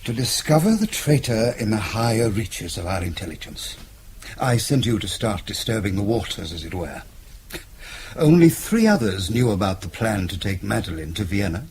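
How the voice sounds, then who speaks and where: An actor playing a suave, calculating British intelligence chief, speaking in an urbane, formal way in a radio drama. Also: male voice, fairly low pitch, big pitch swings, a little mellow, a little hiss or hum. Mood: cool, explanatory. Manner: urbane, measured, formal explaining.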